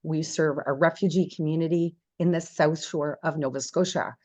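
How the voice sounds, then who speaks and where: A woman from Canada hosts an online information session over a video call. Her voice sits a little low, and her manner is friendly as she moderates. The sound is dry, with almost no room echo. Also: fairly even intonation, normal speed, a little mellow. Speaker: host.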